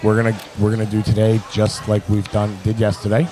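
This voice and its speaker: Low-voiced man hosting a casual show. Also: completely flat delivery, fairly quick, music in the background, poor audio. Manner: loose, swears casually, talks in restarts. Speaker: show host. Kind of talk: casual banter on a live show.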